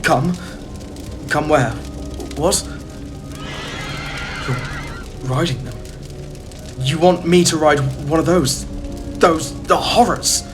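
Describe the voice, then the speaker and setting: Frightened horror narrator, halting and stammering, gothic audio drama, music underneath. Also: male voice, a little high. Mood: frightened confusion. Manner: halting, stammering, dread-filled delivery. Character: terrified male narrator summoned to an ancient family rite.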